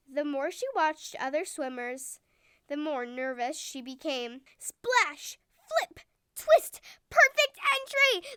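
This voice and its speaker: A woman narrating a scripted bedtime story for young children in an expressive, deliberate, clearly worded way. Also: very high-pitched, no echo, clean studio sound. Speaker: storyteller and show host.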